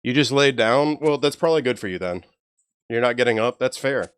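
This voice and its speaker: A young man with a low, even voice, chatting casually and swearing freely with his live stream chat. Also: a little fast, clean tone, no echo. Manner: laid-back, profane, joking with chat.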